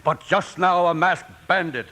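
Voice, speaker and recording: An actor playing a pompous old doctor in a Western radio drama, declaiming in florid theatrical style. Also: male voice, sits high, a little slow, sharp tone. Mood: dismayed. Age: older. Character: pompous old poetry-spouting frontier gentleman doctor. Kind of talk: 1950s Western radio acting.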